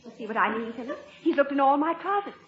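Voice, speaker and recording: A woman's voice: sits high, lively intonation, quick pace, echoey room, lo-fi sound, crowd noise. An actress playing a flustered, anxious housewife in a 1950s radio comedy, nervously tripping over her words. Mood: anxious, insistent.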